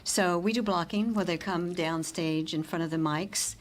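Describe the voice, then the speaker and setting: Lower-voiced woman director with faint background noise. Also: normal speed. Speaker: radio theater director. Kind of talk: conversational interview.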